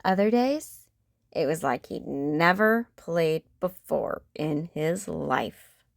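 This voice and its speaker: A woman reads a children's picture book aloud at an unhurried pace, her pitch rising and falling in a lively storytelling way. The sound is dry and close. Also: creaky, dry recording. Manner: expressive, sing-song storytelling.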